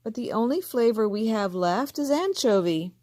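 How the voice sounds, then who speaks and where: A woman's voice: on the high side, expressive, normal speed, dark tone, close to an everyday voice. A woman reading as a distracted, busy dad. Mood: mild. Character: distracted, busy father of a boy.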